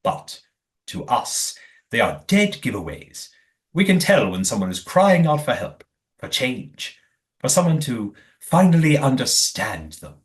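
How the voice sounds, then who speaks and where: A composed and secretive master of a closed community in an audio drama. He speaks formally and evasively, with a mid-pitched voice and lively, swooping intonation. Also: a little slow. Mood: cryptic, assured. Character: calm, secretive male leader of a night-dwelling commune. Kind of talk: audio play acting.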